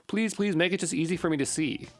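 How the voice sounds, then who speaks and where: A man's voice: on the high side, fast talker, soft background music. A man giving an informal coding walkthrough, thinking aloud and muttering to himself as he works. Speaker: coding tutorial presenter.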